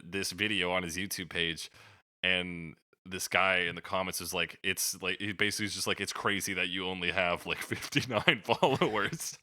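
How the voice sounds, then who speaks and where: Male podcast host, low-pitched and sharply bright, chatting casually with slangy swearing and jokes. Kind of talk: casual chat.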